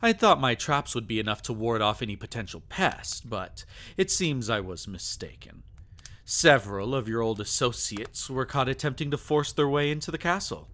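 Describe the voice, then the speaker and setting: A man's voice: medium pitch, animated, moderate pace, a bit bright, close to an everyday voice. A man acting as a sadistic, possessive vampire lord in an intimate ASMR roleplay, teasing and taunting with theatrical, menacing endearments. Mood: coolly threatening.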